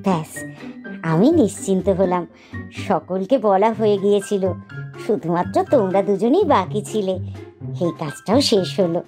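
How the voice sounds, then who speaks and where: A woman's voice: mid-range voice, normal speed, lightly acted. Woman voicing a polite mother duck. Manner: polite, deferential. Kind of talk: cartoon voice acting. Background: background music.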